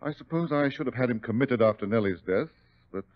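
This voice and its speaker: An actor playing a smooth, formal doctor, politely evasive, in 1940s radio drama style. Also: male voice, medium pitch, lively intonation, a little fast, lightly acted. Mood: regretful, explaining. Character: courteous, smooth-talking doctor, evasive under questioning. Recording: muffled old-time radio recording.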